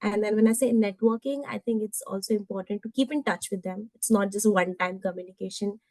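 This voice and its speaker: A woman's voice: medium pitch, brisk, warm tone, no room sound. A woman panelist on a video call giving earnest career advice, checking in with the listener. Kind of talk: webinar advice.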